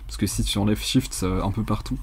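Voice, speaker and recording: A young man giving a casual, unscripted software tutorial in a hesitant, rambling, colloquial way, with a slightly husky voice. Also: on the low side, fast. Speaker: tutorial presenter.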